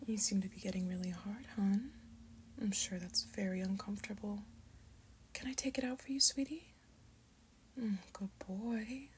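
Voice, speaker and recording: A woman playing a seductive, doting mature succubus mother figure in breathy, whispered ASMR roleplay, slow, coaxing and soothing. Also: medium pitch, fairly even intonation, a little hiss or hum, close to an everyday voice. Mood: sultry, doting. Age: adult.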